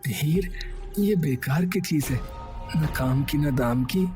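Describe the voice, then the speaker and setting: A man voicing a simple, fretful village husband in a cartoon story, haltingly repeating words. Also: on the high side, a little mellow. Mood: dismissive. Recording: music behind the voice.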